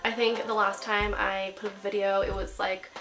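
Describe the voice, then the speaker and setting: Young woman talking to camera from her bed, bit of a cold, bright voice, soft music under it. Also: medium pitch, flat delivery, normal speed, rough recording. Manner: upbeat, chatty, lots of "like" and "um". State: getting over a cold. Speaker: lifestyle vlogger. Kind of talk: casual chatty tutorial. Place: bedroom in a small apartment, seated on bed.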